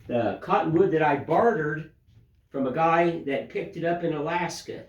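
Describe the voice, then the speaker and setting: Older man, hoarse and raspy from a cold; folksy rambling host, slightly higher voice. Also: normal speed, muffled recording. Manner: rambling, homespun, folksy filler phrases. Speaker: woodcarving channel host. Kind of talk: casual chat to camera.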